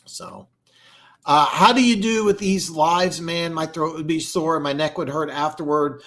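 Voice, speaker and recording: A young man doing live play-by-play and reaction for a football game, excitable and prone to fillers, with a sore throat as he starts to lose his voice. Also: a little slow. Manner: excitable, jumps high and loud on big plays, lots of fillers. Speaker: amateur play-by-play commentator.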